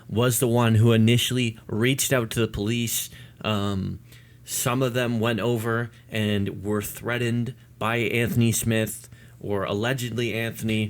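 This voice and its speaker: A man hosting a news commentary video, explaining developments to his viewers. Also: medium pitch. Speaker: video host. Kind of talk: news commentary to viewers, part read statement.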